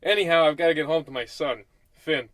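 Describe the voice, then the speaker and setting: A man playing a nonchalant police detective with matter-of-fact, deadpan delivery in a comic radio play. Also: a little high, a little fast, no echo, lightly acted. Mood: deadpan, unbothered. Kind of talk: comic old-time radio play acting.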